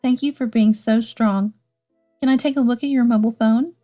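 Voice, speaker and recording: Lady acting emotional wife and mom, mellow but high, earnest, story narration. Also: fairly even intonation, normal speed, soft background music, close to an everyday voice. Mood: soothing. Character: hurt wife and mother, soothing yet confronting.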